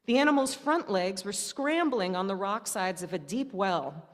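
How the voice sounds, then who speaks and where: A woman with a medium-pitched voice delivers a storytelling sermon in a reverberant church hall. She reads aloud in measured, deliberate phrases, pausing often and adding touches of wry humor. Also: animated. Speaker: church preacher. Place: indoor church service, speaking to a congregation.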